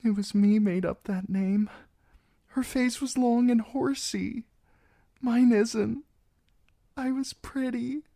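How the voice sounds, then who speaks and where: A woman voicing a frightened young bride who pleads in a high, trembling, breathy voice. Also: fairly even intonation, takes it slowly, long pauses, warm tone. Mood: anxious.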